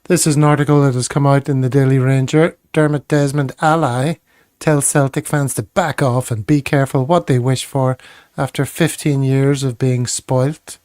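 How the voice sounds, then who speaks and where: A man's voice: medium pitch, fairly even intonation, moderate pace, darkish tone, no room sound, clean studio sound. A man hosting a casual football podcast, upbeat and chatty as he greets guests and reads out comments. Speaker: podcast host.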